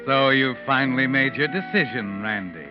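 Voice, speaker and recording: An actor playing a sinister, all-knowing narrator of a 1940s radio mystery, insinuating and deliberate as he taunts the culprit. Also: medium pitch, natural voice. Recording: dull old radio recording, music behind.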